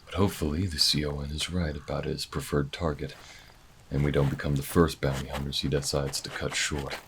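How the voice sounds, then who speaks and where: A man playing a laconic, tactical alien bounty hunter in an audio roleplay, with a low gruff voice and a measured, folksy way of thinking aloud. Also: flat delivery, fairly bright tone, slight background noise, exaggerated voice. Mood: calm, reasoning.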